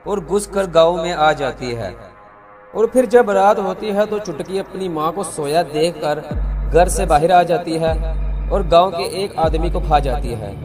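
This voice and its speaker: A man's voice: a little high, animated, unhurried, darkish tone. A man narrating a horror folk tale as a storyteller. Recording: music behind.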